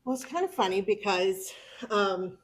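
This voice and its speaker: A woman's voice: mid-range voice, lively intonation, normal speed. A middle-aged woman from California with an American accent, chatting informally about herself to a club, often chuckling. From California, United States. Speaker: club member giving a personal talk. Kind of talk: informal autobiographical speech.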